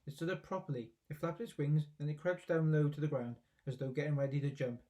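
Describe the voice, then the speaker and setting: A man's voice: on the high side, steady tone, fairly quick, dark tone. A man narrating a children's story expressively, as a reader reading aloud from a book.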